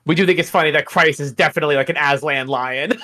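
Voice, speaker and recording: A man's voice: fairly high pitch, quick pace, sharp tone. A man speaking as a guest author in a casual livestream interview, explaining things in a thoughtful, rambling way.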